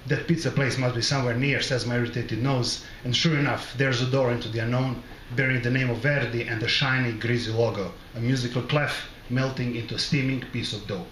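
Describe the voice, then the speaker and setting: A man's voice: medium pitch, fairly even intonation, brisk, hardly any pauses, a bit bright. Wry middle-aged man reading in a roomy space. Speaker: author reading own short story. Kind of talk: literary reading aloud to an audience. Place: small bar with brick wall, indoors. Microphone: close microphone on a stand. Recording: roomy live recording, background noise.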